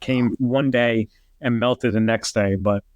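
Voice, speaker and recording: A middle-aged man with a New York accent tells stories in a loose, rambling way, dropping "like" all through and slipping into quick impressions of what people said. His voice sits at an ordinary pitch. The sound is close and dry, with no room around it. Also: fairly even intonation, smooth, no room sound, dull audio. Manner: rambling, heavy use of "like", acts out quotes. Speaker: retired fire captain, guest. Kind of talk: casual podcast storytelling.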